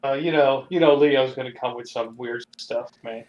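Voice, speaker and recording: Brief: laid-back player, mid-pitched man, echoey room over voice chat. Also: moderate pace, muffled recording. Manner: relaxed, easygoing, drops "man" and "you know". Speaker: tabletop game player. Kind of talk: casual chat. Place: remote call over voice chat.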